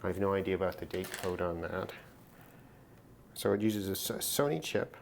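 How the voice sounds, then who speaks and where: Low-voiced man thinking aloud, noisy recording. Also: fairly even intonation. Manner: thinking aloud, halting, musing to himself. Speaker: host of a hobby radio repair video. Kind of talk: casual unscripted hands-on repair commentary. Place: at a desk, indoors. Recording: clear background noise, handling clicks.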